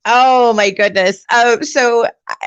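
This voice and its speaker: A woman's voice: fairly high pitch, fairly even intonation, slow, clean tone, no echo, highs cut off. A woman hosting a live career event over a video call, upbeat and laughing often. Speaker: host.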